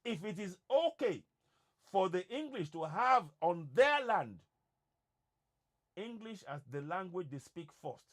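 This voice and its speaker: Emphatic Nigerian man, lively pitch. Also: unhurried. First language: Yoruba. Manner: emphatic, repeats words for emphasis. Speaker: online activist host. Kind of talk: impassioned monologue.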